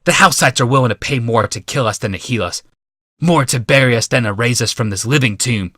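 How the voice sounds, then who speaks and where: A man voicing a young, bitter rebel leader haranguing a mob in a shouted, oratorical audiobook performance. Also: medium pitch, a little fast, dry recording, studio quality, pitched above the performer's own voice, lightly acted. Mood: bitter, impassioned. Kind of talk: audiobook character voice.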